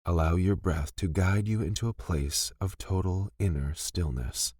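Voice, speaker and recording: A man leading a scripted guided meditation in a gentle, soothing and deliberate manner, pausing between phrases. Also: sits low, husky, no echo, studio quality.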